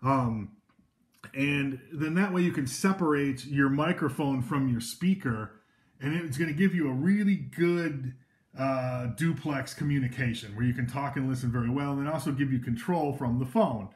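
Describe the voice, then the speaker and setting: A man's voice: medium pitch, normal speed. A man giving a casual, loose product demonstration indoors, talking from behind a handheld camera with many fillers and restarts. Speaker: equipment demonstrator. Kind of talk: informal product demo. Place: indoors at a table of devices.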